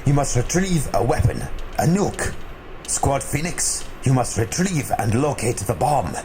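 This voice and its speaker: A young man acts out a stern male commander of a besieged refuge, barking formal, clipped orders to his squad in a homemade radio play. His pitch rises and falls a lot as he speaks, and a faint hiss sits under his voice. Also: animated, measured pace, a little mellow, close to an everyday voice. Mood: commanding. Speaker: commanding officer. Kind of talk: amateur radio drama acting.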